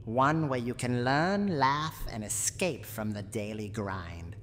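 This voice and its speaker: A woman voicing a gruff, teasing old granny with a secretly tender side, in a theatrical roleplay ASMR monologue. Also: rumbling low, slow pace. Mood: warning. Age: older. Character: gruff, teasing tsundere granny guarding her haunted house. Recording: long reverb, clear background noise.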